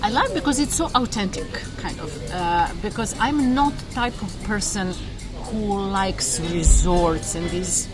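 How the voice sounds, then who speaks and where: A woman from Serbia chatting in an interview, pausing often with fillers and restarts. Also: medium pitch, expressive, music in the background. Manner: hesitant with many fillers and restarts. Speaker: viewer interviewed while traveling. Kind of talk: casual interview chat.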